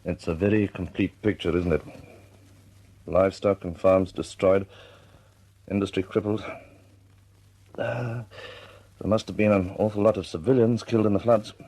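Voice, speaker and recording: A man's voice: fairly low pitch, steady tone, normal speed, long pauses, dark tone, husky. A man acting in a radio drama as a reflective, deferential British air force officer, speaking with restraint and some hesitation in a British accent. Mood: sober, reflective.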